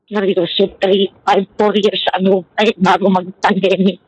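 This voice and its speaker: A woman's voice: medium pitch, level tone, unhurried, husky, no echo, dull audio. A woman with a Filipino accent chatting casually over a helmet intercom headset. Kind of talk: casual chat.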